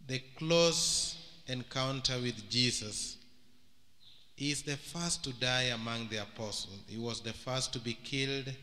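Kenyan man, priest, unhurried homily, bright forceful tone, church reverb. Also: medium pitch. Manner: slow, measured preaching with long pauses, switching into Luo. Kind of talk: homily at Mass. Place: large church hall. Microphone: stand microphone and handheld mic. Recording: church hall with long reverb.

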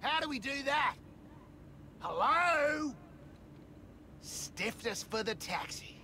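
An actor playing a cheeky, lively rogue in a video game cutscene. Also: male voice, extremely high pitch, slow, a little hiss or hum, full character voice.

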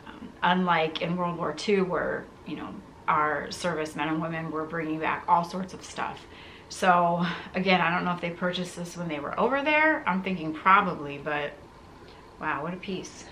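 Casting: female vlogger, thirties, forward bright voice, informal musing delivery, faint room noise behind. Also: lowish voice, normal speed, poor audio. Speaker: content creator, video host. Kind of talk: casual unboxing vlog, talking to camera. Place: living room, indoors.

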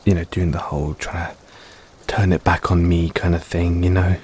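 A man playing an affectionate, self-doubting middle-aged dad in an intimate audio roleplay, speaking hesitantly and tenderly with gentle teasing. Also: sits low, level tone, normal speed, natural voice. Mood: anxious, hesitant. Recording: rain-like ambience noise behind.

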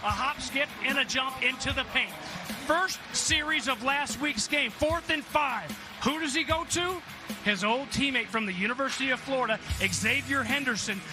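A man working as a football analyst, breaking down highlights in broadcast commentary. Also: moderate pace. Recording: loud music underneath.

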